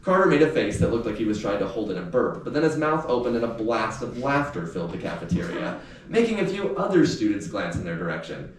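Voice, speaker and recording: A man reading youth fiction aloud in an expressive, audiobook-like storytelling style. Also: on the low side, fast. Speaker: author reading aloud from a novel. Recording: echoey room, distant mic, faint noise.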